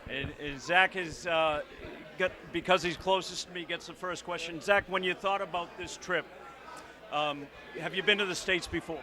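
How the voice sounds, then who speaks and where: A man's voice: sits high, steady tone, moderate pace, crowd noise. A man working as a reporter, interviewing young players in a chatty, upbeat way on a handheld microphone. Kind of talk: on-camera interview coverage.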